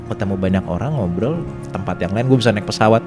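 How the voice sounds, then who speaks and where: A man's voice: fairly low pitch, quick pace, over music. A man with a Jakarta Indonesian accent telling his own story in a casual, slangy podcast conversation.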